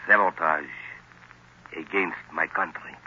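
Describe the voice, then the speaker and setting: Casting: menacing professor villain, commanding and forceful, old radio drama with thin, band-limited sound. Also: male voice, low-pitched, steady tone, full character voice. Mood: coldly commanding. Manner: commanding, deliberate, insistent. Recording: noisy, narrow-band vintage radio recording.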